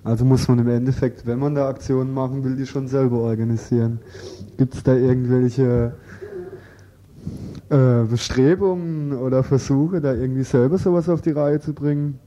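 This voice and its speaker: Very mellow male interviewer over background noise. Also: medium pitch, fairly even intonation, moderate pace, rough recording. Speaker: radio interviewer. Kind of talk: casual questioning.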